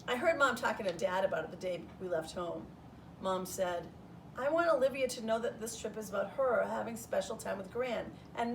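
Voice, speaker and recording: A woman reads a first-person children's story expressively, like a primary teacher. Her mid-pitched voice has a creaky edge. The mic sits at a distance, and faint noise runs underneath. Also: a bit bright. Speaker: third grade teacher reading aloud.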